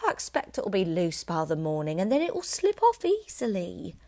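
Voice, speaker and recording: A woman reading aloud, voicing a bossy middle-aged wife who scolds and lectures in a sharp, dismissive manner. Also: on the low side, huge pitch swings, moderate pace, vocal fry, heavily acted.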